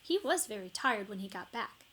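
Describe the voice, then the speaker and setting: A woman narrating a children's story expressively, with deliberate dramatic emphasis. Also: medium pitch, sing-song, bright, forceful tone. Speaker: storybook reader.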